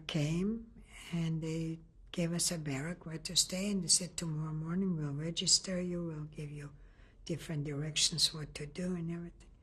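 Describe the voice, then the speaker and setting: An older woman from Lithuania giving an oral history testimony in a halting way, often restarting and searching for words. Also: low voice, husky. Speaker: interviewee.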